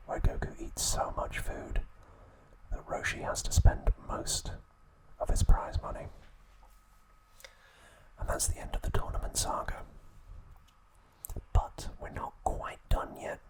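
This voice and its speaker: Whispering man narrating ASMR trivia. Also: low-quality recording. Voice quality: breathy, unvoiced whisper. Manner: hushed, measured delivery in short phrases. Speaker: ASMR host.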